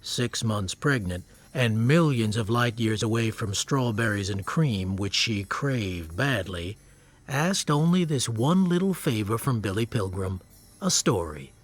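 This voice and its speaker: An actor playing a wry, matter-of-fact storyteller who narrates a radio drama. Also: male voice, fairly low pitch, animated, measured pace.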